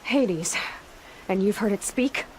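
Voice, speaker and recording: A woman plays a determined young huntress in a video game. Her mid-pitched voice is guarded and commanding, with dry, clipped questions and some noise behind her. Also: takes it slowly, darkish tone, lightly acted, low-quality recording. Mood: probing, determined. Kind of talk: video game cutscene acting.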